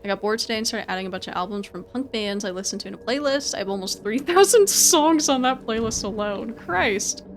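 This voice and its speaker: A woman's voice: a little high, animated, fairly quick, few pauses, soft background music. A woman giving casual live game commentary and chatting with viewers, informal and prone to repeating her words and phrases. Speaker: game streamer.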